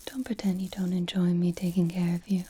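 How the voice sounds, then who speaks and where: A woman voicing a flirtatious, teasing, possessive vampire in a soft ASMR roleplay, whispering breathily close to the microphone. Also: on the low side, flat delivery, breathy edge. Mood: tender, flirtatious. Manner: slow, soothing, coy teasing.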